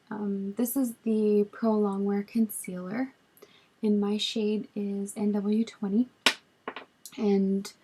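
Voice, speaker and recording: A woman in her twenties with a raspy voice from a cold, chatting casually through a makeup tutorial, recorded on a webcam in a small bedroom. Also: medium pitch, rough recording. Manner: relaxed, chatty, lots of ums while applying makeup. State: hoarse from a cold. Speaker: beauty vlogger. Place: small bedroom with storage shelves, indoors. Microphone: webcam at arm's length.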